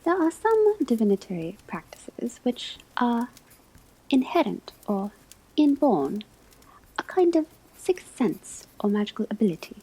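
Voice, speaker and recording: Here is a scholarly witch professor, acted by a woman, giving an ASMR classroom lecture. The voice is very breathy and soft, often slipping into a whisper, with a mellow tone. The delivery is slow, formal and deliberate, patient with a gently stern edge. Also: a little high, very animated, full character voice, low-quality recording. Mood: instructive, measured.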